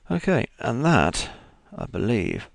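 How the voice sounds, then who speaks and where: A man with a croaky voice, casually talking through each step of a 3D modeling tutorial as he works. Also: medium pitch, wildly swooping pitch, takes it slowly, background noise. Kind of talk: screen-recorded software tutorial.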